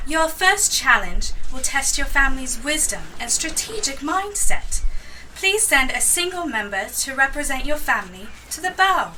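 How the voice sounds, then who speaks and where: Woman as a commanding, very bright-voiced mermaid. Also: high voice, very animated, normal speed, recorded from a distance, slight background noise, heavily acted, poor audio. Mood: stern, ceremonial. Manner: ceremonial, commanding announcements. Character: stern, formal mermaid challenge host. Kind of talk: audio drama acting.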